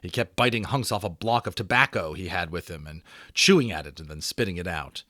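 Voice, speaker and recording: A man giving a dramatic audiobook reading as storyteller, with expressive, varied delivery. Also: low-pitched, brisk, no echo, studio quality.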